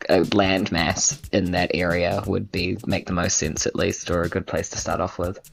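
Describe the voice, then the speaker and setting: A woman's voice: rumbling low, little pitch movement, moderate pace, creaky, soft background music. A woman with a New Zealand accent in casual table talk as a player in a tabletop game.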